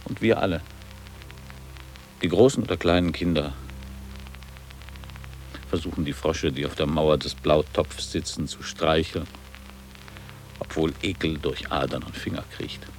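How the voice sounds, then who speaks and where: A reflective older man looking back on his school days in a literary radio play. His voice sits low, and he speaks in measured, lyrical, formal phrases over music. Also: rough recording. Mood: grave, reflective. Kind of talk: literary radio drama monologue.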